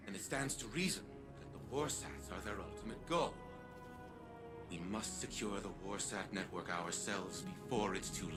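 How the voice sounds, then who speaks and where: An actor playing a haughty old male artificial intelligence in a video game, speaking in a formal, pompous, deliberate way. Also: lowish voice, natural voice. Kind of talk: scripted video game dialogue.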